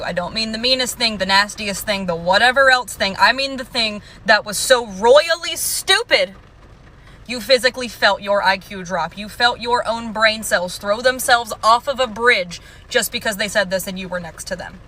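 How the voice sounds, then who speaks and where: Bright-voiced woman in her twenties. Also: mid-range voice. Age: 20s. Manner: sarcastic, emphatic. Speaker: TikTok creator. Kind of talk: casual storytime to camera.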